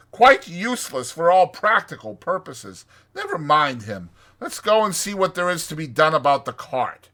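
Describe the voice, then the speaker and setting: A man voicing a bossy, exasperated male water rat for a storybook, speaking in a firm, sharp, emphatic way. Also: a little high, husky, pitched above the performer's own voice, full character voice.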